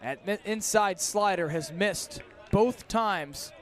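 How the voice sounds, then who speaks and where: A high-voiced man works as a baseball play-by-play announcer. He delivers live radio commentary, following the game pitch by pitch. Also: takes it slowly, a little hiss or hum.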